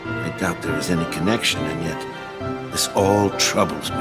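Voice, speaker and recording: A stern, caring middle-aged mentor played by a male actor with a lowish voice. He speaks solemnly and formally, like the master of young warriors in a fantasy game, over loud music. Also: fairly even intonation, lightly acted. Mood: solemn, troubled. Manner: formal, solemn, measured old-fashioned phrasing. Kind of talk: dramatic fantasy game cutscene acting.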